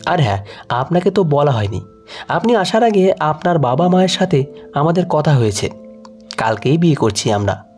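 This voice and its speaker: A man's voice: fairly high pitch, very animated, normal speed, a little mellow, soft background music. A man voicing a busy, courteous and decisive young policeman engaged to be married, in an audio story. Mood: courteous, explaining. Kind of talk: emotional audio story dialogue.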